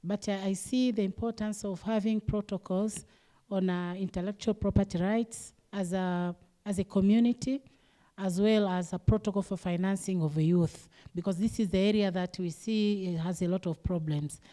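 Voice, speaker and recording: A woman from Tanzania talks on a conference panel. She speaks hesitantly and restarts her phrases often. Her voice is mellow. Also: mid-range voice, fairly even intonation, normal speed. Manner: hesitant, repeats words. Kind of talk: panel discussion remarks.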